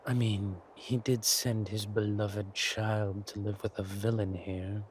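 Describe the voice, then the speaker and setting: An actor playing a smug, menacing villain king in an intimate audio roleplay, speaking deliberately and theatrically to a beloved consort. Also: lowish voice, level tone, a little hiss or hum. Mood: smug, amused. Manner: slow, deliberate, theatrical, breathy asides.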